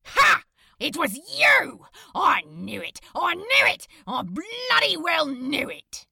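Woman playing furious demon man, audio drama, very slow deliberate delivery, gravelly fry, indignant, spluttering, dramatic. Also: very high voice, huge pitch swings, pushed and bright, full character voice. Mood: furious, accusing. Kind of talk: fan audio drama acting.

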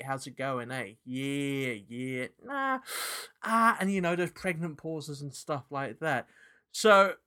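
A man with a British accent mixed with New Zealand and South African traces, co-hosting a podcast in animated, sweary casual chat. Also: on the high side. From England. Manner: animated, swearing, trailing restarts. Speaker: podcast co-host.

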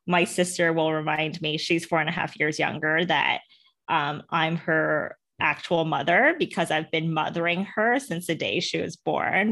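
Low-voiced gravelly woman entrepreneur. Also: fairly bright tone. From Canada and Hong Kong. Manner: chatty, frequent ums and laughs. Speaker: entrepreneur guest. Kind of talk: casual interview storytelling.

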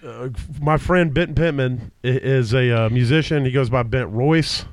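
A man hosts a casual podcast with a slightly congested, stuffy-nosed sound from a cold. His voice is smooth and resonant at an everyday pitch, close on the mic in a dry, dead basement. He rambles along with plenty of fillers and false starts. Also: normal speed, no room sound. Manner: rambling with frequent fillers and restarts. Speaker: podcast host. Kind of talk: casual chat. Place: home basement. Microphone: podcast microphone.

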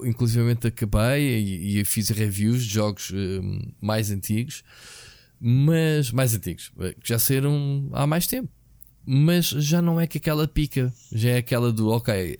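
A hoarse man with a European Portuguese accent, co-hosting a podcast in a relaxed, rambling chat full of fillers and restarts. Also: medium pitch, no echo. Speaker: podcast co-host. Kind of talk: casual chat about video games.

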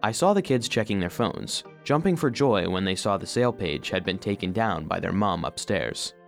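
Earnest, low-voiced robot storyteller. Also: male voice, lively intonation, normal speed, gravelly, soft background music. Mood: heartfelt, relieved. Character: earnest, sensitive vacuum-cleaner robot telling his story. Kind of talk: scripted first-person storybook narration for children.

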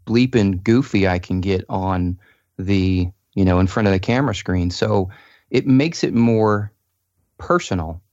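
A man's voice: deep voice, darkish tone, dry recording, highs cut off. A man, a podcast guest, talking earnestly and explaining his points with plenty of "you know"s. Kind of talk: casual podcast chat.

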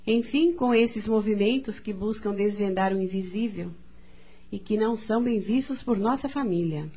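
An actress playing a stern, respectable middle-aged aunt in a radio soap opera, formal and reproachful. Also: female voice, mid-range voice, normal speed, mellow, breathy edge, close to an everyday voice.

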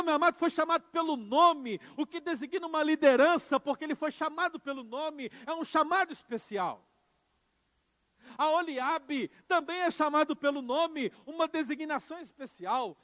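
High-voiced man preaching in a reverberant church. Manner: emphatic preaching, swings from soft to loud. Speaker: pastor. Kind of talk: sermon. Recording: church hall with long reverb.

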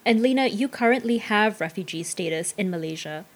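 A woman's voice: medium pitch, animated, fairly quick. A woman hosting an interview podcast, asking prepared questions in a friendly tone. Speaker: podcast host.

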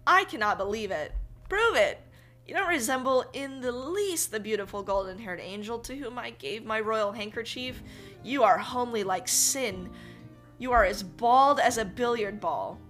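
Woman putting on a blustering storybook king, loud cries, bright tone. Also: very animated, unhurried, few pauses, faint music behind. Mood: astonished. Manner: exclaiming, loud and high. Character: astonished blustering old king.

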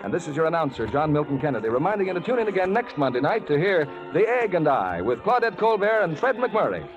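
A man's voice: fairly high pitch, very animated, fast talker, highs cut off. A man announcing credits and reading advertising copy in a scripted 1940s radio style, on an old broadcast. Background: music.

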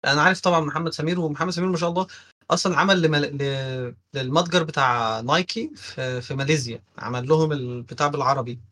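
A man's voice: a little high, fairly even intonation, rapid-fire, a bit bright. A man with an Egyptian accent in a casual group discussion. He agrees readily and recalls details, with stops and restarts.

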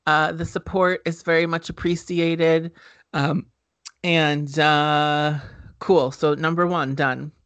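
A man co-hosting a casual podcast, talking in an expressive, animated way and laughing often. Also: a little high, slow pace, smooth, no room sound. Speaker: podcast co-host. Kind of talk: casual chat. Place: indoors.

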